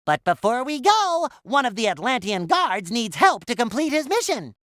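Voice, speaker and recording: Squeaky nasal cartoon sponge for a game, super high and bouncy all over the place, childlike n cheery. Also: male voice, big pitch swings, moderate pace, a bit bright, exaggerated voice. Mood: cheerful narrating. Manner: exuberant, exclamatory, playfully earnest. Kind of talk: cartoon video game voice lines.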